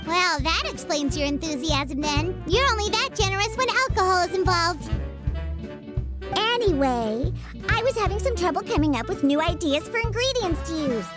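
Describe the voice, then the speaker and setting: High-voiced, huffy little girl bartender. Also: sing-song, normal speed. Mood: grumbling. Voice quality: childlike. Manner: huffy, sardonic. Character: grumpy sassy young girl bartender who hates alcohol. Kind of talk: anime game voice acting. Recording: music behind the voice.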